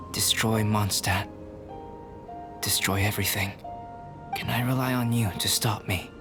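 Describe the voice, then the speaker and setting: An actor playing a calm, soft-spoken young alchemist who is an artificial being, speaking in a measured, reflective way in a game cutscene. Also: male voice, lowish voice, close to an everyday voice. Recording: game soundtrack music behind.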